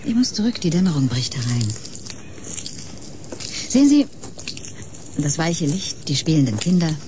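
An actress playing a detached, otherworldly woman in a science-fiction radio drama, solemn and enigmatic, with breathy whispers. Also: low-pitched, very animated, moderate pace, noisy background. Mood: wistful, foreboding. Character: detached, otherworldly woman who scorns human emotion.

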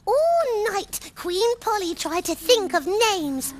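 A British woman voicing a young girl who earnestly tells a fairy tale in a children's puppet show, childish and deliberate. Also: extremely high pitch, sing-song, exaggerated voice. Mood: earnest storytelling.

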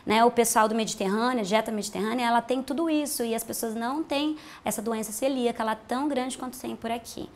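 A woman's voice: fairly high pitch, fast talker, hardly any pauses. A woman in her thirties with a Brazilian accent, a nutritionist explaining diet in a TV health interview, patient and plain-spoken, on a lapel mic. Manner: explanatory, patient, uses simple comparisons. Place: bright room with large windows.

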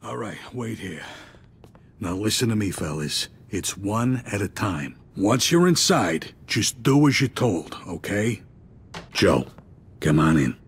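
Brusque low-voiced mobster underboss. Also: male voice, sing-song, slow pace, breathy edge, full character voice. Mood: commanding. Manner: streetwise, bossy, exasperated slang. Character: brusque, profane mid-century mobster underboss, world-weary, middle-aged man. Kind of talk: game cutscene acting.

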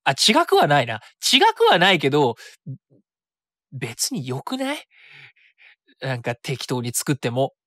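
A man's voice: medium pitch, very animated, a little slow, pauses often, fairly bright tone, no room sound, clean studio sound. A man hosting a web radio show solo in a casual, chatty style, often interrupting himself and voicing his own thoughts. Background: background music.

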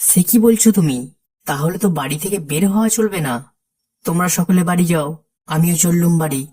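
A woman playing a low, husky old villager. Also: a little fast, dark tone, close to an everyday voice. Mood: worried. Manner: anxious, scolding. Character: worried older village man, uncle figure.

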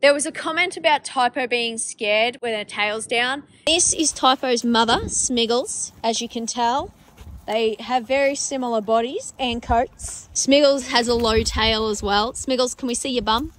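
A woman's voice: a little high, a little slow, fairly bright tone, low-quality recording. A young Australian woman in her twenties chatting casually to a phone camera about farm work, wry and blunt, swearing freely. She films in her car, on a tractor and out in the paddocks. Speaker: farm vlogger. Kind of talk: casual vlog chat. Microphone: phone camera held at arm's length.

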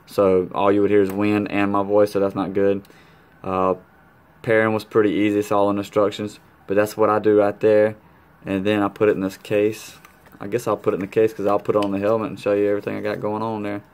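Guy with a lowish voice doing a laid out how-to vlog, slangy, throws in little sound words, at home. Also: monotone, brisk, darkish tone, clean tone. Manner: colloquial, playful sound-effect interjections. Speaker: motorcycle vlogger demonstrating gear. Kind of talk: casual hands-on tutorial chat.

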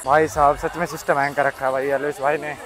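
A young man with a fairly high voice vlogs in a loose, excitable way. He speaks into a phone held at arm's length out on the street. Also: fast talker, soft background music. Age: young adult. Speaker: vlogger. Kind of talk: casual vlog chat. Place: outdoors on streets and grounds near a large crowd.

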